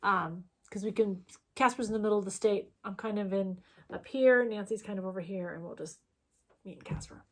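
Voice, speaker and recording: A woman's voice: mid-range voice, fairly quick. A woman, co-hosting a casual stitching chat, rambling and chatty with plenty of fillers. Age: 40s. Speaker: co-host.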